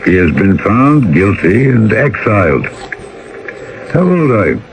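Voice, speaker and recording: A world-wise old-time river boatman in a very low voice. He talks calmly and deliberately, as in classic radio drama, over faint broadcast noise. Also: low-quality recording. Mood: grave, knowing. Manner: measured, deliberate. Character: world-wise Siberian river boatman, calm and knowing storyteller. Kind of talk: 1980s radio drama acting.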